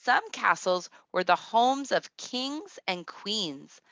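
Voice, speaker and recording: A woman with a very bright, forward voice reads aloud as a kindergarten teacher would. She takes it slowly and deliberately, pausing to explain things straight to the children. The sound is close and dry. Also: medium pitch, big pitch swings, no echo, muffled recording. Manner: deliberate, instructive, addresses children directly. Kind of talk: read-aloud to young children with teaching asides.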